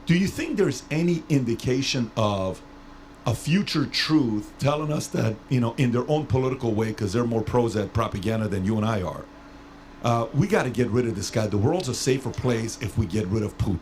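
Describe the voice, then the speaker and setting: A man from Iran hosting a podcast and asking long, rambling questions. He repeats himself and slows down to stretch out words. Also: mid-range voice, lively intonation, darkish tone, a little hiss or hum. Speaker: podcast host. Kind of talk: casual interview talk.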